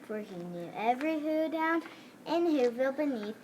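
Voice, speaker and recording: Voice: sits high, lively intonation, moderate pace, a little mellow, a little hiss or hum, poor audio. A child narrating a scripted story as part of a readers theater.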